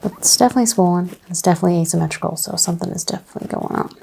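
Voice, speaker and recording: A soft-spoken woman playing a chiropractor in an ASMR roleplay, talking in a calm clinical way and often dropping to a whisper. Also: fairly low pitch, racing, creaky, husky.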